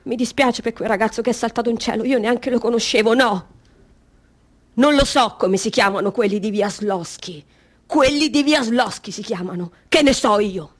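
A woman's voice: a little high, moderate pace, close to an everyday voice. A woman playing a naive, wounded teenage girl in a radio drama, rambling artlessly like a bewildered child. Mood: bewildered, pained. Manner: breathless, rambling, childlike run-on telling.

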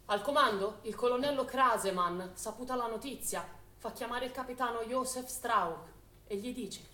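A woman's voice: fairly high pitch, moderate pace, bright, forceful tone. A woman acting as a wartime chronicler and village voice in a theatrical documentary style, emphatic and declarative. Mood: grave. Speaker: stage actress narrator. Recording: echoey stage, faint noise.

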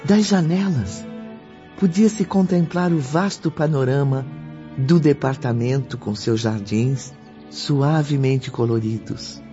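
A man's voice: medium pitch, takes it slowly, dark tone, lightly acted. An actor playing a reflective male narrator recalling the afterlife in a radio drama, with a contemplative, lyrical delivery. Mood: contemplative, admiring. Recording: muffled recording with faint music.

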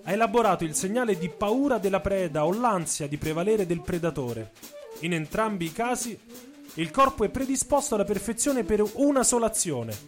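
A man with a somewhat high-set voice tells a scripted story for radio theatre. His delivery is theatrical and intense, that of a dramatic narrator. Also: animated, a little fast, soft background music.

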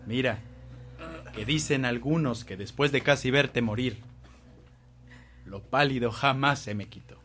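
A good-humoured Mexican priest in a radio play, acted by a man. His voice sits at a medium pitch, and his manner is jovial and familiar. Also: unhurried, long pauses, fairly bright tone, close to an everyday voice. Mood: jovial, loyal. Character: Benjamín, good-humoured priest and loyal old friend. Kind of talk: radio drama acting.

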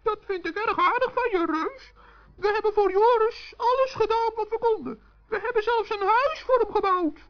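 Tiny high-pitched worried gnome. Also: female voice, normal speed. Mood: earnest. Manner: earnest, fretful, conciliatory. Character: small, earnest, kindly, worried male forest gnome. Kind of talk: children's radio play acting. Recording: dull, muffled old recording.

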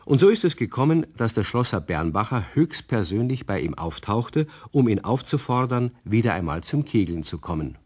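A man narrating a scripted German radio play as storyteller, with a husky voice. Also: lowish voice, sing-song, normal speed, few pauses.

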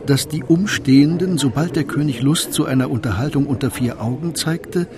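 A man's voice: fairly high pitch, normal speed, crowd noise, natural voice. A man narrating a historical court tale in a radio drama, as a composed literary storyteller.

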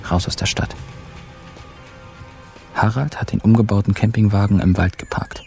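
An actor narrating a radio crime comedy as a wry, cheeky kidnapper, in a dry, colloquial way. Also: male voice, on the low side, steady tone, normal speed, creaky, dark tone. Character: wry, cheeky male kidnapper telling his own caper. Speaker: first-person narrator. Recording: music behind the voice.

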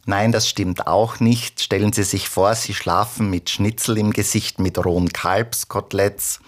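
Deep-voiced man explaining matter-of-factly. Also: steady tone, a little slow. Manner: matter-of-fact, debunking. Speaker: expert interviewee. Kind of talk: explanatory interview answers.

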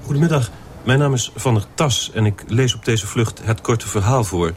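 A man's voice: medium pitch, moderate pace, a little hiss or hum, close to an everyday voice. A man playing an earnest, stiffly polite story reader, formal and solemn, in an absurdist radio play. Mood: earnest reciting. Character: earnest, stiffly polite man reading short stories aloud.

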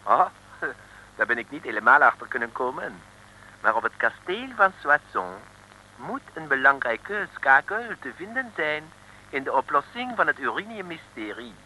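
A grandiose French inspector in a vintage radio drama, with a French accent. His delivery is formal and emphatic, his pitch leaping about, his tone piercingly bright. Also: big pitch swings, normal speed, slight background noise, poor audio. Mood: earnest, confiding. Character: courteous, excitable French police inspector, dutiful and grandiose. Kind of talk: 1950s-60s radio serial acting.

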